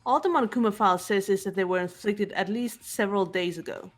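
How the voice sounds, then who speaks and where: A woman voicing a cool, analytical teenage girl detective, calm and measured, lecturing with precise logic. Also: mid-range voice, faint music behind, close to an everyday voice. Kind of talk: amateur voiced game playthrough.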